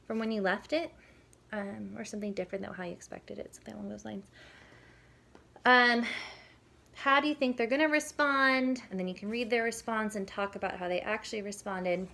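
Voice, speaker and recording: A woman's voice: medium pitch, creaky, a bit bright. A woman speaking as a parenting educator, giving an informal, conversational lesson and explaining as she goes. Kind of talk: informal instructional talk with demonstrated questions.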